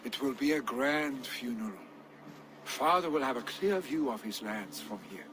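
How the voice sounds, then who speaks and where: A grieving son of a jarl, voiced by an actor, speaks with proud earnestness at a middle pitch. Game ambience sits clearly behind his voice. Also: animated, normal speed, background noise, close to an everyday voice.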